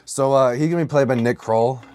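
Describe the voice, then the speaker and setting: A man's voice: mid-range voice, brisk, a little mellow, clean tone, no room sound. A man co-hosting a podcast in casual comedy chat, talking in crude, jokey, profane slang. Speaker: podcast co-host.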